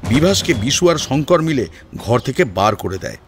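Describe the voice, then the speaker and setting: A man's voice: mid-range voice, very animated, normal speed, background noise. A man reading a scripted story as a dramatic narrator. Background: background music.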